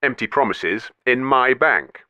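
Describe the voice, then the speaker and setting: A man's voice: mid-range voice, lively intonation, a little slow, sharp tone, no room sound. A man playing a pompous old banker. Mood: haughty. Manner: haughty, condescending. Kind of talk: comedy radio sketch.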